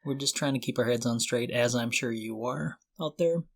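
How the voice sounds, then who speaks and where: A man's voice: mid-range voice, normal speed. A man co-hosting a conversational podcast, talking earnestly and a little tentatively. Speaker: podcast co-host.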